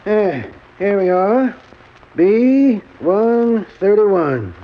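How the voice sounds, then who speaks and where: An actor playing a hesitant, matter-of-fact baggage clerk in halting 1940s radio style. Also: male voice, high voice, animated, heavily acted. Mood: businesslike. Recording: muffled, hissy old radio recording.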